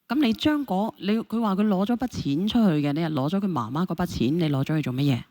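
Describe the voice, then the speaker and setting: Fast-talking, lower-voiced woman host. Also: lively intonation, dark tone. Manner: questioning, persistent. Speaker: call-in show host. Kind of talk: mediating a caller dispute, casual talk.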